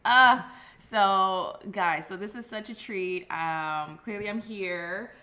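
A woman's voice: medium pitch, slow pace, sharp tone. A woman hosting a casual family podcast, bubbly and chatty, laughing often and using fillers. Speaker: podcast host.

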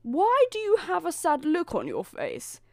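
A woman's voice: extremely high pitch, huge pitch swings, a little slow, vocal fry, darkish tone, dry recording, studio quality, pitched above the performer's own voice, full character voice. A woman voicing a proud queen mother who chides, in a storybook reading. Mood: chiding. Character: proud queen mother, chiding her son.

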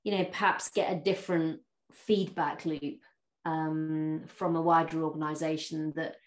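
A woman hosting an interview podcast, with a fairly low voice. She talks in a chatty, easy way, full of fillers and restarts. The room around her sounds echoey. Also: flat delivery, a little slow. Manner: chatty, warm laughs, many fillers and restarts. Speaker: podcast host. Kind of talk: interview conversation.

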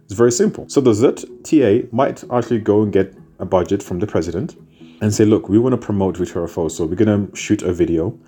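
A man's voice: on the low side, fast talker, dark tone, faint music behind. A man born in Zimbabwe and raised in the United Kingdom, explaining content strategy in a talk, stacking repeated phrases for emphasis. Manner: repetitive, building lists for emphasis. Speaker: content marketing speaker.

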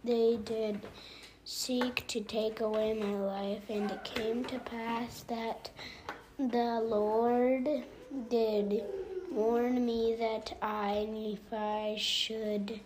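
Woman, family scripture reader, slow and halting, slightly high and flat, noisy home recording. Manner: careful, halting reading with occasional coughs and self-corrections.

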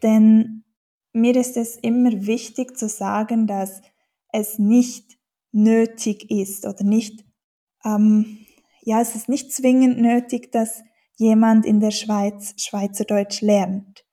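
A woman's voice: on the high side, little pitch movement, slow pace, warm tone. A woman from German-speaking Switzerland with a Swiss accent, a dialect teacher answering interview questions thoughtfully, with many fillers and restarts.

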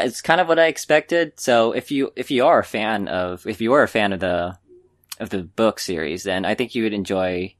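Male podcast co-host, low voice, informal and a little halting, wandering through his thoughts. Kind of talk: casual chat, informal film review.

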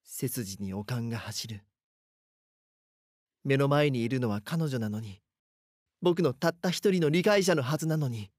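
A husky young male voice acted by a man in a close, dry recording. He plays a timid captive in an audio drama, telling his ordeal in an uneasy, hesitant first person. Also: medium pitch, lively intonation, a little slow, long pauses, darkish tone, no echo. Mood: bewildered dread. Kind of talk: Japanese voice drama acting with first-person narration.

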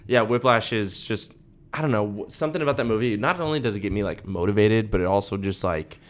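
A man's voice: on the low side, fast. A young man co-hosting a podcast in casual chat, rambling with lots of "like" and "dude". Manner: rambling, heavy use of "like" and "dude". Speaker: podcast co-host.

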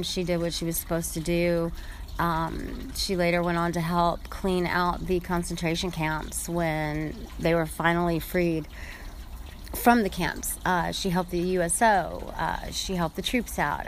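Woman, low-pitched, earnest and halting storyteller. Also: slight background noise, poor audio. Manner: earnest, halting, many fillers. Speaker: visitor telling a family story. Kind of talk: casual spoken storytelling.

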